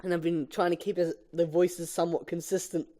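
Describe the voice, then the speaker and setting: A man's voice: on the high side, little pitch movement, fairly quick, warm tone. A young man, an amateur reader working through a film script aloud and chatting casually, with a sweary, exasperated edge.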